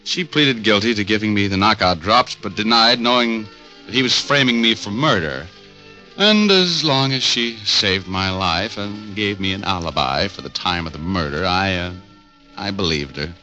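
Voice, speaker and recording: An actor playing a tough, wisecracking private eye who talks in a sardonic, hard-boiled way, in 1940s radio acting style. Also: male voice, fairly low pitch, normal speed, sharp tone, soft background music, poor audio. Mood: wry.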